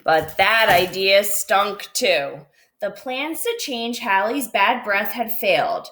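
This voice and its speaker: A woman reading a children's picture book aloud as a storyteller, with expressive, drawn-out dramatic phrasing. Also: mid-range voice, a little hiss or hum.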